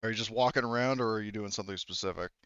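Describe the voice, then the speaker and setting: A man narrating a tabletop roleplaying game as game master, in a casual, halting, improvised way. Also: lowish voice, a bit bright, clear, resonant tone, dry recording, highs cut off. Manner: halting, casual, repeats phrases.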